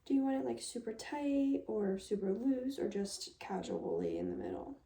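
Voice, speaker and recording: Dark-toned, creaky soft-spoken ASMR woman. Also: a little high, wildly swooping pitch, normal speed. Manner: gentle, casual, reassuring chat to a client. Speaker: ASMR creator role-playing a hair stylist.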